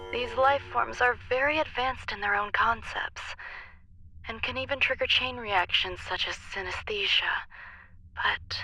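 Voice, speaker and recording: An actress playing a detached, melancholy woman genius scientist in a video game, soft-spoken, measured and formal in a philosophical monologue. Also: mid-range voice, husky, heavily acted, poor audio. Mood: wistful, resigned. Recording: game music behind the voice. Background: video game music.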